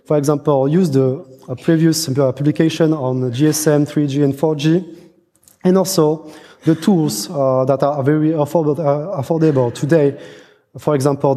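A very dark, muted male voice rings through a big, reverberant hall. He is a non-native English speaker presenting technical research, and he moves haltingly, doubling back on phrases as he goes. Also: fairly high pitch. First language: not a native English speaker. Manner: halting, repeats and restarts phrases mid-sentence. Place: large conference hall. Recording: echoey hall, long reverb, muffled.

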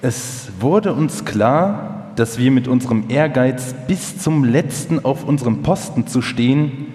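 A man playing a German engineer soberly recounting his wartime memories in a measured, formal way in a documentary radio feature. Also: medium pitch, lively intonation, warm tone, lightly acted. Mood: rueful. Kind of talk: documentary radio feature, live first-person reading. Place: large hall, live stage performance. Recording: echoey hall with long reverb.

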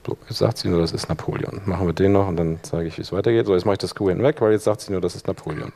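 A man teaching a live software workshop, explaining step by step in an informal spoken style. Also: low-pitched, steady tone, very fast, creaky. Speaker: workshop instructor. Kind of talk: live software demonstration to an audience.

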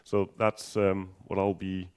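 A man's voice: deep voice, flat delivery, takes it slowly, a little mellow, clear, resonant tone. A man from Denmark with a Danish accent giving a technical conference talk, hesitant with many ums and restarts and a dry humor. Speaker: conference speaker.

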